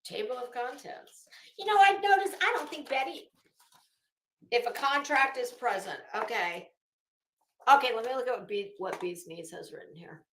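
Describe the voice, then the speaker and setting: Lady streamer w a kinda high voice, super echoey room, chatty n rambly, thinks out loud while reading stuff. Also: animated, normal speed, a little hiss or hum. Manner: informal, rambling, thinking aloud, hesitant. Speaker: livestream host. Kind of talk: casual live commentary mixed with reading legal documents aloud.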